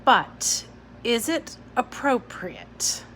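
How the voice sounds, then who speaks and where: A woman reading a storybook part as a cool, dismissive female schoolteacher, soft-spoken and deliberate. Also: on the high side, sing-song, a little hiss or hum, pitched above the performer's own voice, heavily acted. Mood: doubtful.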